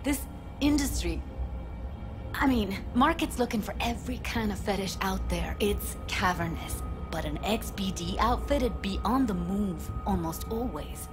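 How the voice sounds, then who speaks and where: A fiery young rebel techie from a video game, voiced by a woman. She is blunt and bitter in an average-pitched voice, with music clearly behind her. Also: expressive, a little slow, lightly acted. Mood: brooding. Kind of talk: video game dialogue acting.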